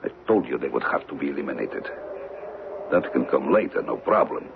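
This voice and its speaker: An actor playing a menacing, cold-blooded villain in an old-time radio drama, speaking formally and deliberately with condescension. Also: male voice, extremely low pitch, animated, gravelly, full character voice. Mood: cold suspicion. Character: menacing male villain, a silky cold-blooded killer with umbrella. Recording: tinny, noisy old radio broadcast.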